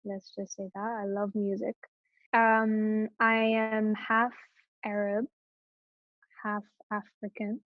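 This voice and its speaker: A woman's voice: medium pitch, level tone, slow pace, dry recording, phone-quality sound. A young woman from Morocco, a guest talking casually in an interview and hesitating often with fillers and restarts. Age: young adult.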